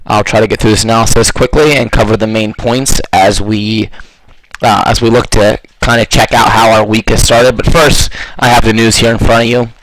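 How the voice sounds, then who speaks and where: A man with a slightly hoarse voice, walking through trading charts in a loose, casual way with lots of fillers. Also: lowish voice, fairly even intonation, normal speed, a bit bright, no echo, low-quality recording. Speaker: forex trading analyst.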